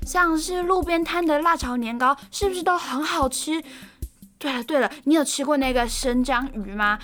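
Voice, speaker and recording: A woman's voice: high voice, normal speed, a bit bright, faint music behind. A young woman acting a cheerful, curious student in an audio drama, playful and eager with a whiny streak. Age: young adult.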